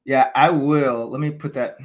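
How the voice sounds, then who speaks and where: A man's voice: medium pitch, fairly even intonation, measured pace. An American man in his thirties hosting a casual livestream from a small room at home, rambling enthusiastically with lots of fillers and restarts. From United States. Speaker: cricket channel host.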